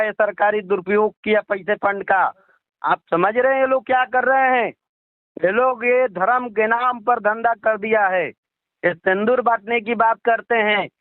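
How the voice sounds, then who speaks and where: A man's voice: extremely high pitch, no echo. An Indian man, speaking indignantly in a political phone interview, repeating himself and posing rhetorical questions. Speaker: political activist being interviewed. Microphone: phone call. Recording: muffled lo-fi phone call recording.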